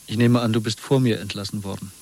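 A man's voice: fairly low pitch, steady tone, quick pace, a little mellow. An actor playing a subdued, broken man released after torture, speaking in terse, resigned phrases in a radio drama. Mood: weary, resigned. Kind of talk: 1970s radio drama acting.

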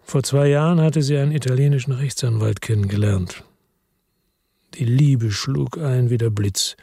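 An actor playing a world-weary police chief, narrating in a slow, measured, tired way in a radio drama. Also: male voice, medium pitch, creaky, dark tone, slightly rough, dry recording, studio quality. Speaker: first-person narrator.

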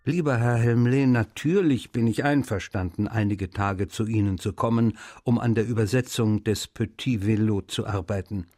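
A man's voice: fairly low pitch, normal speed, warm tone, no room sound, clean studio sound, lightly acted. An actor reading aloud as a polite, friendly male author in a dramatised letter. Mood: cordial.

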